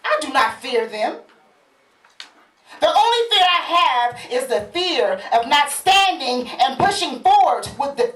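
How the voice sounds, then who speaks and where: Actress as proud warrior queen: high, very animated, commanding stage oratory with deliberate pauses. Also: exaggerated voice. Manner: declamatory, commanding, dramatic pauses. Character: proud, defiant African warrior queen rallying her people. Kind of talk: theatrical stage monologue. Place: live stage play at a market venue. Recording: echoey, distant live stage recording.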